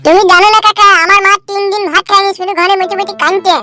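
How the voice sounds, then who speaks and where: A very high, fast-talking little boy from a cartoon comedy. He is brash and foul-mouthed, scolding and threatening with childish fury over loud music. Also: fairly even intonation. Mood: angry, threatening. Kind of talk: Bengali cartoon comedy dialogue.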